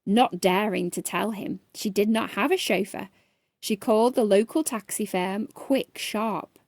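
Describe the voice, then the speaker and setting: A woman's voice: mid-range voice, unhurried, darkish tone, no echo, studio quality. A woman narrating a children's bedtime story expressively, as a storyteller reading aloud.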